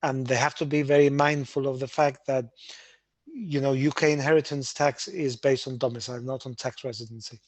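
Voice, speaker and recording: A man hosting a webinar, explaining tax residency rules in a brisk, emphatic way with frequent restarts. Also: mid-range voice, steady tone, no room sound. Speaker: webinar host, Gibraltar tax adviser.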